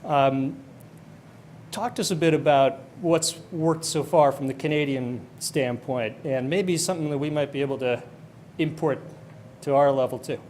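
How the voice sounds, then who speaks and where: A man's voice: on the high side, moderate pace, a little mellow, a little hiss or hum. A man moderating a conference panel, casual and affirming, linking loosely strung phrases into a question for the next speaker.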